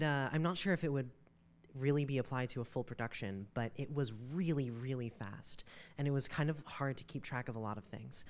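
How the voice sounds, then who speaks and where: Woman, smooth clear voice, hesitant, polite, informal audience feedback. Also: very deep voice. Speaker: audience member giving feedback. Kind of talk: informal spoken critique.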